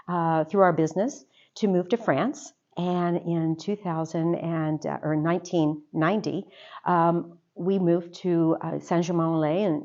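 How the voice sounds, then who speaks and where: A woman's voice: lowish voice, muffled recording. A woman guest in an interview, telling her own history in a chatty way with fillers. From United States. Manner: warm, chatty storytelling with fillers. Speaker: interview guest, organization officer. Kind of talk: casual interview answers.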